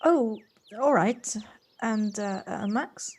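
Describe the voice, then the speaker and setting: A woman's voice: fairly high pitch, lively intonation, takes it slowly, a little mellow. A woman playing an eager, inquisitive newcomer in her forties in an amateur audio drama, sometimes hesitant. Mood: curious. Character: inquisitive, eager forty-five-year-old woman newcomer. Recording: noisy home recording on personal device.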